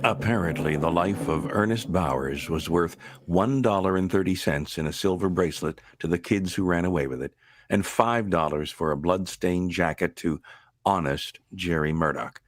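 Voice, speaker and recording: A man playing a sober narrator of an accident report in a radio drama, measured and wry. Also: deep voice, dry recording, studio quality.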